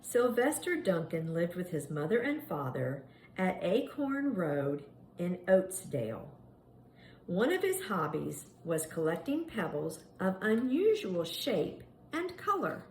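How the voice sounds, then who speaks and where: A woman reading a children's picture book aloud in an expressive, dramatic storytelling manner meant for young children. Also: on the low side, slow. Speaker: storybook reader. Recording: echoey room with faint background noise.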